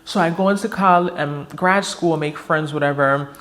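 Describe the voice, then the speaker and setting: A woman's voice: deep voice, moderate pace, a bit bright. A woman in her early thirties talking frankly to camera in a casual personal vlog, reflective and candid. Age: 30s. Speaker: vlogger.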